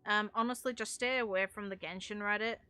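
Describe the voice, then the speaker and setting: British woman streamer, bright-voiced and blunt. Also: mid-range voice, fairly even intonation, a little fast, clean tone, faint music behind. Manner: blunt, opinionated, mild swearing. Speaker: game streamer. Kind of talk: casual chat while playing a game.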